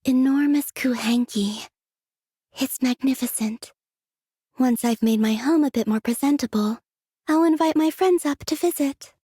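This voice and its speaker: Otherworldly young moon maiden, game character, airy and breathy, delicate, serene, gentle, close dry recording. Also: female voice, high voice, measured pace, no room sound. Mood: dreamy, matter-of-fact. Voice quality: soft, breathy. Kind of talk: scripted video game character voice lines.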